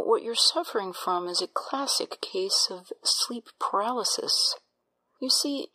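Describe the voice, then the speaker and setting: Woman acting breathy doctor lady, bright voice, reassuring in a creepy patronizing way, audio drama. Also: mid-range voice, heavily acted, rough recording. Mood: clinical, assured. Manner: soothing, clinical, patronizing. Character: calm, smoothly reassuring doctor with an unsettling edge.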